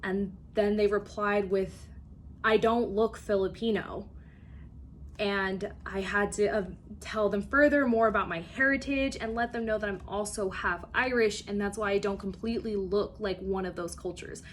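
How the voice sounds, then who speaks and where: A woman's voice: medium pitch, steady tone, normal speed, slight background noise. A young woman student giving an earnest personal speech for a class, telling a story with occasional fillers. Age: young adult.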